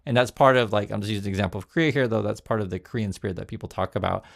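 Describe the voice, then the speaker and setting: Chatty man with a gravelly voice. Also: on the low side, very fast. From United States. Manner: chatty, restarts words, reads quotes aloud. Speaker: news commentary host. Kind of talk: casual spoken commentary.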